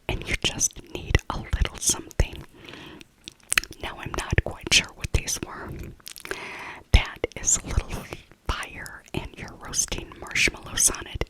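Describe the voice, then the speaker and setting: A woman speaking in a breathy, unvoiced whisper, gently rambling through a casual ASMR voiceover and often repeating her phrases. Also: rough recording. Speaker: ASMR creator narrating a shopping trip.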